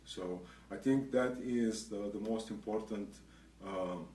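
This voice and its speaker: A middle-aged man answering interview questions, hesitant, pausing often and restarting sentences. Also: lowish voice, warm tone. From grew up in Israel. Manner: hesitant, many pauses and restarts. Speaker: guest, association executive. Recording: echoey room, distant mic, faint noise.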